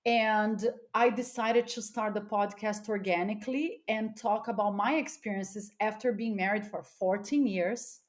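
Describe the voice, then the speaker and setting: A woman's voice: mid-range voice, fairly even intonation, measured pace, few pauses. A middle-aged woman from Los Angeles chatting as a podcast guest, candid, informal and emphatic. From Los Angeles, United States. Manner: emphatic, informal with frequent "like" and "you know". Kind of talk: casual, candid chat.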